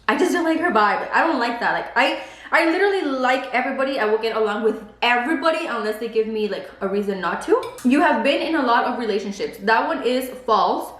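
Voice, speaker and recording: A woman's voice: fairly high pitch, expressive, fast, a bit bright, echoey room. A woman in her twenties whose first language is Spanish, chatting casually on a vlog and saying "like" a lot. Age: 20s. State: pregnant. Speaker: beauty vlogger. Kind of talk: casual chat while eating.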